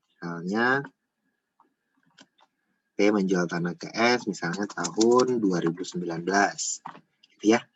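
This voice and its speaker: A man's voice: low-pitched, measured pace, pauses often, slight background noise. A man giving an informal step-by-step tutorial, repeating himself and often adding small checking tags. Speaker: accounting tutor.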